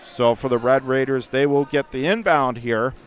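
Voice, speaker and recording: A man doing live radio play-by-play of a high school basketball game, speaking in clipped running phrases as the announcer. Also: normal speed. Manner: clipped running phrases, swelling into excited calls during action.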